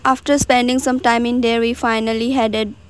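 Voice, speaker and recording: A woman's voice: fairly high pitch, flat delivery, normal speed, over music. A young woman from India giving a chatty voice-over for her daily vlog, retelling her days in simple sentences with many fillers. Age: young adult. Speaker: vlogger. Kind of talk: voice-over narration of a travel vlog.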